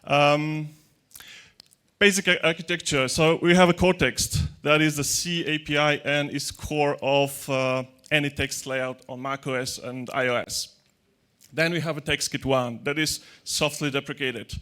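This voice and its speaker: A man's voice: on the high side, steady tone, measured pace, fairly bright tone, clear, resonant tone. Chatty man giving a tech conference talk. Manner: joking, chatty, with hesitant restarts and non-native grammar. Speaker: conference speaker. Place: large dark conference hall with audience. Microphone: handheld mic.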